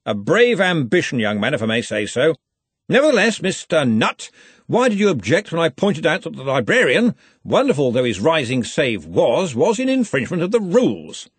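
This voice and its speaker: A man's voice: on the high side, wildly swooping pitch, normal speed, slightly rough, no room sound, voice pushed up, exaggerated voice. A man voicing a pompous, commanding older wizard who runs a college, in an audiobook. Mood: pompous, assured. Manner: blustering, bellowing, commanding. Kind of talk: audiobook character acting.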